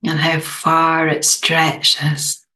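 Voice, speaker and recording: Very slow, low-pitched woman guiding meditation. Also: completely flat delivery, low-quality recording. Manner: gentle, unhurried, long pauses. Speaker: meditation guide. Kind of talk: guided meditation.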